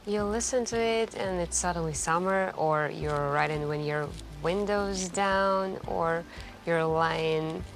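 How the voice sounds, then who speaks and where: Slow, soft-spoken wistful woman acted by a woman. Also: on the low side. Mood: nostalgic, musing. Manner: intimate, hesitant, musing, with gentle pauses. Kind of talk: soft-spoken ASMR roleplay.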